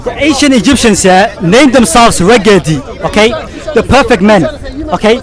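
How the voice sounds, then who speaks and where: A man's voice: high-pitched, normal speed. A man born in Somalia, arguing insistently in a street debate, pressing with "okay?" and "yeah?". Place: outdoors on a city street. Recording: crowd noise outdoors.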